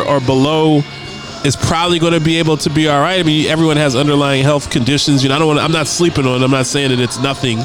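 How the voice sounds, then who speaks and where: A man's somewhat high voice comes through casual talk-radio chatter, with steady music playing under him. He hosts the show in a loose, easygoing way, often circling back on his words as he works out his thoughts. The feel is an informal studio hangout. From United States. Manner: laid-back, repeats words while thinking aloud.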